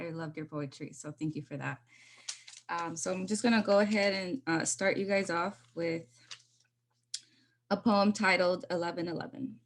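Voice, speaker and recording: A woman with a lowish voice reads her own poetry over a video call. Her delivery is measured and earnest, and between poems she slips into easy, casual talk. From United States. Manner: measured, earnest recitation with casual spoken asides. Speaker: poet. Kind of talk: spoken word poetry reading.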